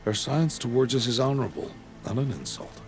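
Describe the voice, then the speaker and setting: An actor playing a stern middle-aged male outcast mentor in a video game, speaking in a deep, grave, measured and terse way. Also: big pitch swings, darkish tone, soft background music, lightly acted. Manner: solemn and terse. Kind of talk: video game cutscene acting.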